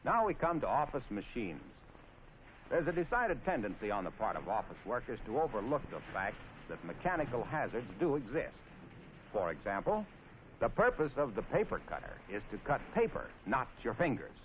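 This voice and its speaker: A man's voice: medium pitch, sing-song, moderate pace. An American man narrating in 1940s training film style, wry, folksy and playfully sarcastic. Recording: scratchy, tinny 1940s film soundtrack with noise.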